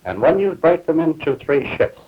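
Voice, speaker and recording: A man speaking as a police major, giving a formal explanatory briefing in deliberate official phrasing on 1950s film. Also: on the high side, sing-song, husky. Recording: muffled 1950s film soundtrack.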